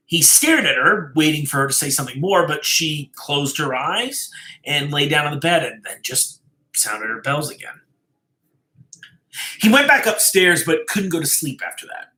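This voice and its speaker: A man reading a story aloud as a dramatic storyteller, deliberate and suspenseful, stressing key phrases and dropping to hushed endings. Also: fairly high pitch, poor audio. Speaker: storyteller, host of a live reading show.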